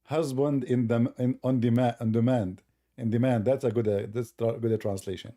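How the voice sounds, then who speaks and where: Mellow-voiced man rambling to his brother. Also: medium pitch, fairly even intonation, moderate pace. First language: Arabic. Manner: rambling, keeps calling the listener brother; loose non-native grammar, chuckles often. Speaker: online commentator. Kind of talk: casual commentary over a video.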